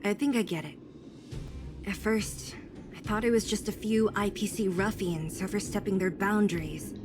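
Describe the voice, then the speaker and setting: A woman playing a guarded, serious but caring young woman in a video game, speaking in a measured, hesitant way. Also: mid-range voice, steady tone, over music, natural voice.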